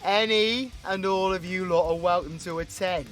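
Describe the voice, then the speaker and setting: A man's voice: high-pitched, steady tone, moderate pace, a bit bright, faint music behind. A British man playing a cocky, foul-mouthed, brawling supervillain in an audio roleplay, mocking and crude. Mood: mocking, menacing. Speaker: voice actor.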